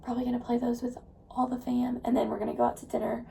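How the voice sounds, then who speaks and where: A young woman with a hoarse, gravelly voice chats through a casual vlog update. Her tone sits a little high but sounds mellow and soft. She rambles easily and fills her pauses with plenty of fillers. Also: level tone, slight background noise. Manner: chatty and rambling, with many fillers. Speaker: vlogger.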